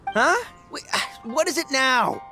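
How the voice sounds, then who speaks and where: A man's voice: very high voice, a little slow, over music. A man voicing a brash, goofy, big-hearted young gang boss in a video game, boisterous, slangy and theatrical. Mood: impatient, cheeky. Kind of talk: video game cutscene acting.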